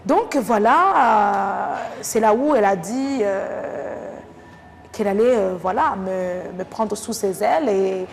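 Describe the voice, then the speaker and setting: A woman from Rwanda, a teacher talking thoughtfully in an interview with many pauses and fillers. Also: medium pitch, gravelly, soft background music. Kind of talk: casual interview talk. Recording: reverberant room with faint noise.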